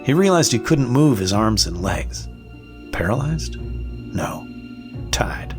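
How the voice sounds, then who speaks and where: A man's voice: mid-range voice, big pitch swings, slow, faint music behind. A man reading a comic horror story as scripted narration.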